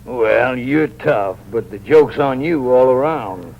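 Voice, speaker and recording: An actor playing a shrewd, calm sheriff who drawls with wry amusement in a 1950s radio drama. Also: male voice, mid-range voice, takes it slowly, vocal fry, full character voice. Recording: dull, hissy old radio broadcast.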